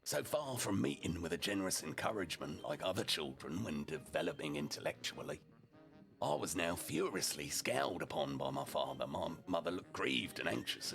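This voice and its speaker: A man reading a humorous first-person story aloud as a storyteller, with deliberate phrasing and profane asides. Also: sits low, vocal fry, a bit bright. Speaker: storyteller, streamer narrating in character as a deputy.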